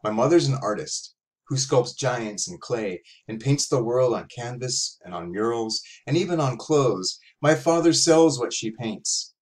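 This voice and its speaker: A middle-aged man reading a verse novel in the words of a lonely, self-conscious schoolboy, in a measured, reflective way. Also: medium pitch, expressive, lightly acted. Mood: reflective, earnest. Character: self-conscious, lonely, imaginative seventh-grade boy narrator. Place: small room at home, indoors.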